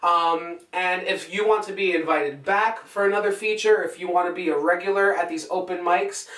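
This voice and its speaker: A man's voice: high-pitched, normal speed, fairly bright tone. A young man giving casual, conversational tips to camera as a poet who performs on stage. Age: young adult. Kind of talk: casual instructional vlog talk to camera. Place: small bare-walled room indoors. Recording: echoey room, distant camera microphone.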